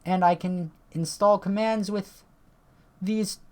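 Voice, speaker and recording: A woman with a tired voice, chatting casually and swearing now and then as she reads on-screen text and walks through software at her computer. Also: medium pitch, fairly even intonation, slow. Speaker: tech video creator.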